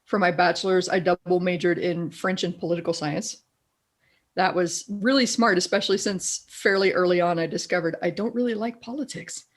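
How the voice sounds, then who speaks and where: A middle-aged woman with a deeper, raspy voice, a guest in a casual interview who tells animated stories and laughs often. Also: moderate pace. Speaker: guest, copywriter.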